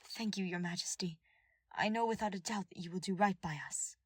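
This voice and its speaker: A woman voicing a gentle young male noble, soothing and deferential, in an audiobook drama. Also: lowish voice, brisk, husky, no room sound.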